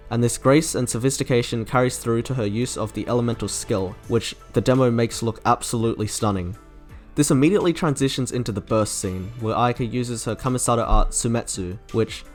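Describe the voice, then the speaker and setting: A man reading a scripted video review as its narrator. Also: mid-range voice. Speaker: video essay host ranking game trailers.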